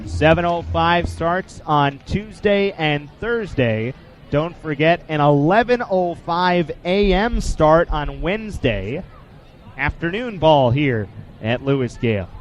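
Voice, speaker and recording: A man in his twenties with an American accent, doing live play-by-play commentary as a sports announcer. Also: fairly high pitch. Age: 20s. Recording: loud noise behind the voice, live sports venue. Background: arena or ballpark ambience.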